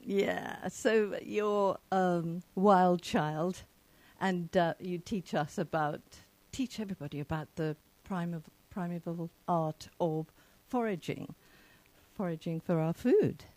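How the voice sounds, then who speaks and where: Low, mellow-voiced English woman host. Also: slow pace, no room sound, low-quality recording. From England. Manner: rambling questions with fillers. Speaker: podcast host. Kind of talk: casual interview.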